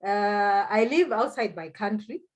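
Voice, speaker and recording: A woman with a Zimbabwean accent and Shona as her first language speaks as an interview guest. Her mid-pitched voice gives a conversational, personal account, feeling for phrases and repeating words as she goes. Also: expressive, measured pace.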